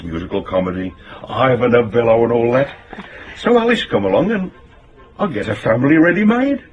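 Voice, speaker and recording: An actor playing a genial, plain-spoken older English working man in a radio drama, chatting haltingly in colloquial speech. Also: medium pitch, muffled recording, a little hiss or hum, lightly acted. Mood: cheerful, open. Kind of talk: naturalistic radio drama acting.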